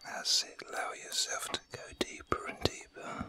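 A man in his fifties giving a soothing, breathy whispered ASMR relaxation as a reiki healer, gentle and unhurried. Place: room at home.

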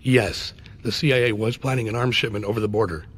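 An actor playing a stoic former Army Ranger and special agent with grave, clipped delivery in a video game. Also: male voice, lowish voice, fast, a bit bright, slightly rough. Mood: grave testimony. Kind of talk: scripted game cutscene acting. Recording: game audio with background noise.

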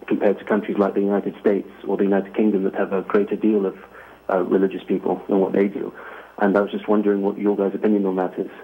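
A man from Greece calling in to a talk show, asking a long question with many fillers and restarts. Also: on the low side. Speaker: caller. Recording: tinny phone line.

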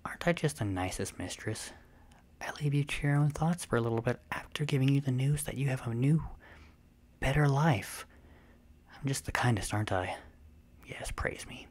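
A woman playing a possessive, smug and playful vampire mistress in a breathy, unhurried ASMR roleplay. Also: rumbling low, animated, a little mellow. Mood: self-satisfied. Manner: self-satisfied, teasing, unhurried, often breathy and half-whispered.

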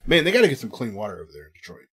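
A man's voice: mid-range voice, huge pitch swings, very fast, a bit bright, slightly rough. Easygoing man co-hosting a podcast. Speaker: podcast co-host. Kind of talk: casual gaming podcast chat. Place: indoor studio set.

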